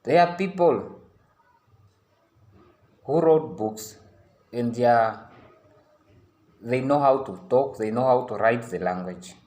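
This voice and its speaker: A man's voice: mid-range voice, slow pace, pauses often, a little mellow. A young man makes an earnest appeal in English, his after a San tongue. His voice sits in an ordinary middle register. He pleads and repeats himself, restarting sentences as he pushes his case. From Namibia. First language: a San language. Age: young adult. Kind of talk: impassioned public appeal.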